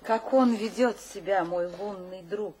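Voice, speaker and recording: An actress playing a composed, gently ironic woman confidante in a radio drama, measured and theatrical. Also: medium pitch, slight background noise, natural voice. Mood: knowing.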